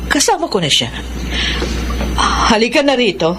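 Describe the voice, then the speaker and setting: A woman's voice: fairly high pitch, sing-song, normal speed. An actress playing a caring, resolute middle-aged mother in a radio drama. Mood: reassuring. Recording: prominent music under dialogue.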